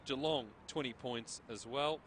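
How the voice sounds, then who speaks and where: A man's voice: medium pitch, measured pace, a bit bright, slight background noise. A middle-aged Australian man hosting a football broadcast, chatting and joking before reading out scores and ladder standings. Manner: chatty, jokey, reads out scores. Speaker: sports broadcast host.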